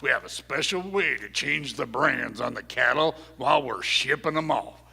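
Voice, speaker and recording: Man playing a gravelly, boastful cowboy outlaw, echoing hall. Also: mid-range voice, lively intonation, normal speed, sharp tone, exaggerated voice. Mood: boastful, confiding. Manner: folksy drawl, bragging. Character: chatty, boastful male cowboy outlaw gang member. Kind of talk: live Western radio play acting. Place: performing arts theater stage. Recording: very reverberant hall, stage performance.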